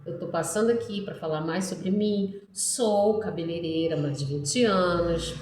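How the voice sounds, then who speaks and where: A woman's voice: lowish voice, a little slow, darkish tone, slight background noise. A middle-aged Brazilian woman chatting casually and in a rambling way to her followers about herself, with frequent tag questions. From Brazil, born in Ceará, raised in Pará. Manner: rambling, frequent "né" tags, addresses viewers. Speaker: hairdresser making a video for followers. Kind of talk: casual chatty vlog monologue. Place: echoey space by a busy street. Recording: echoey, distant microphone.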